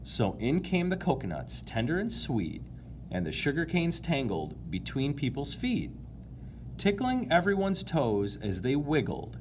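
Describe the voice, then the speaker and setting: A man reading a children's picture book aloud in an expressive, playful way, drawing out key words and chatting to his young listeners. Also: mid-range voice, unhurried, slight background noise. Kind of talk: animated read-aloud to children, with asides to listeners.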